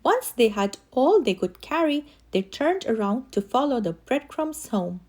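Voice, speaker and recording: A woman reading a children's story aloud in an expressive way, with animated, dramatic emphasis. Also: high voice, unhurried. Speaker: children's story reader and channel host.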